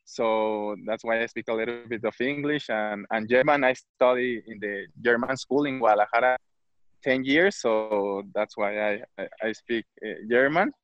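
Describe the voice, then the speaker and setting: A footballer from Mexico, speaking English with a Mexican Spanish accent, hesitant and searching for words. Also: male voice, medium pitch, normal speed, a bit bright, no echo, muffled recording. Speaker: football player. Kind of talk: interview.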